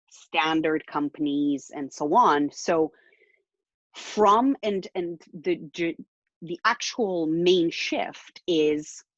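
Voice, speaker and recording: Earnest low-voiced woman from Romania. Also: dry recording, lo-fi sound. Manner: earnest, thoughtful, many fillers and repeated "very". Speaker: interview guest, blockchain project co-founder. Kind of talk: casual interview conversation. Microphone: video call.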